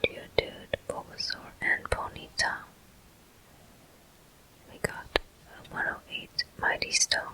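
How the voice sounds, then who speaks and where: A person whispering gameplay commentary for an ASMR video, breathy and gentle, in short, unhurried phrases. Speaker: host of a gaming ASMR video.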